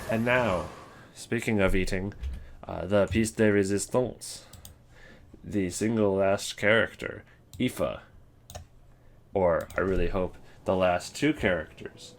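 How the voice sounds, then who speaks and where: Low-voiced male commentator, casual and rambling, working out opinions aloud. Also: slight background noise. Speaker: video creator ranking game voice lines.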